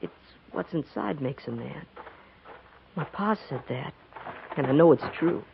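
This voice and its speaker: An actor playing an earnest, pleading young frontier farm boy with a rural American accent and a halting, folksy manner in a 1950s radio western. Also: on the high side, lively intonation, creaky, background noise, full character voice. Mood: anxious pleading. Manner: halting, folksy, stammering when pressed. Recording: dull 1950s radio recording.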